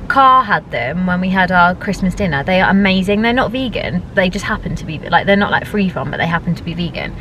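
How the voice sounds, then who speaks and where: A woman's voice: lowish voice, lively intonation, brisk, hardly any pauses, a bit bright, low-quality recording. An English woman in her thirties chatting casually and informally to a vlog camera. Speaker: vlogger. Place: parked car, later indoor room at home. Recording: clear background noise of car and room.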